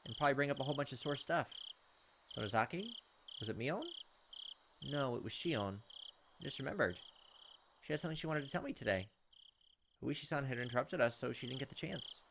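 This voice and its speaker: A man's voice: medium pitch, lively intonation, brisk, vocal fry, pauses often, a bit bright, slight background noise. A man hosting a gaming video, reading story text aloud expressively and dropping in casual asides.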